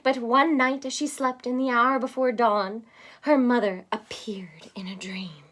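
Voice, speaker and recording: A woman with a fairly high voice reads a children's storybook aloud. Her delivery is dramatic and expressive, clearly pitched at young listeners. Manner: expressive, pausing to explain words simply. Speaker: storybook reader.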